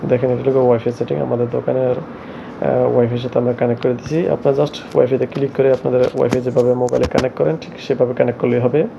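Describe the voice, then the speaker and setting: Man, creaky voice, halting tutorial delivery, medium pitch, clearly audible background hum. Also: level tone, highs cut off. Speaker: tech tutorial presenter. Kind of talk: hands-on product tutorial narration. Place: shop, indoors.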